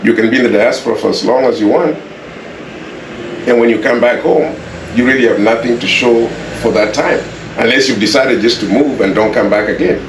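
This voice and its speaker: A Kenyan man speaks conversationally as an interview guest, at a middle pitch. He is earnest and repeats phrases to make his point. The recording is echoey, with some faint noise behind him. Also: fairly quick. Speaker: interview guest, property developer. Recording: echoey, distant mic, faint background noise.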